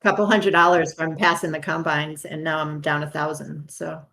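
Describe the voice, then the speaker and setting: A woman's voice: sits low, little pitch movement, normal speed, a bit bright. A woman trader chatting casually with a host, laughing easily. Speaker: guest trader. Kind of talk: casual chat.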